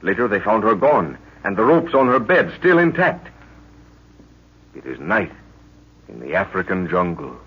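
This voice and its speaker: A man's voice: low voice, big pitch swings, moderate pace, pauses often, breathy edge. A man narrating an old radio adventure serial as announcer, with portentous, theatrical phrasing. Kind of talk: dramatic 1940s radio scripted narration. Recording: muffled old radio recording.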